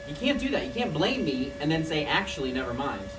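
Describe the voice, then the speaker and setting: A woman's voice: deep voice, very animated, fairly quick. A woman playing an insecure, wounded boyfriend who needles and pleads in a live table read of a relationship play. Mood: defensive. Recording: echoey, noisy room, distant mic.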